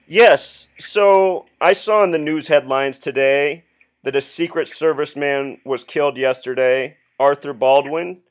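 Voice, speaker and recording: Man, slightly high pitch, earnest caller, explanatory monologue, insistent, deliberate, questioning. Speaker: caller phoning the police with a theory.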